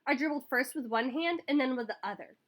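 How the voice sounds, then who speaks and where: Bright animated lady doing a kids story read aloud, really expressive. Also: high-pitched, brisk. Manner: animated, acts out dialogue. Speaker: school staff member reading aloud. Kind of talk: expressive children's picture book read-aloud.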